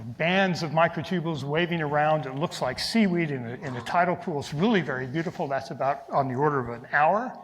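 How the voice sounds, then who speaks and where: A man's voice: a little high, fairly bright tone. A middle-aged man giving an academic research talk in an enthusiastic, reflective manner. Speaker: lecturer. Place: lecture hall. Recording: reverberant lecture hall.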